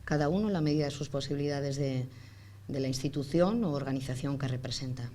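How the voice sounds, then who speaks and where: A woman moderating a round table, speaking in measured, wordy phrases that build piece by piece. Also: extremely low pitch, mellow. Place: indoor round-table conference room. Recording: faint background noise.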